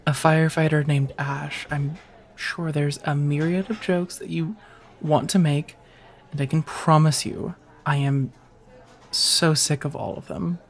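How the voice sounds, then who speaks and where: A woman's voice: sits low, steady tone, a little slow. A woman playing a friendly, teasing firefighter on a date in an intimate, casual ASMR romance roleplay, chatty and reassuring. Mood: wry, playful. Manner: chatty, playfully wry, reassuring, sprinkled with soft laughs.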